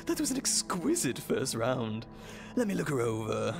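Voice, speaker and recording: Theatrical, swooping evil doctor. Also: male voice, medium pitch, huge pitch swings, gravelly, over music. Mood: gloating, mock-tender. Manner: theatrical, mock-tender, drawn-out phrasing. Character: sadistic, gleeful evil male doctor, condescending and menacing. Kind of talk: audio drama acting.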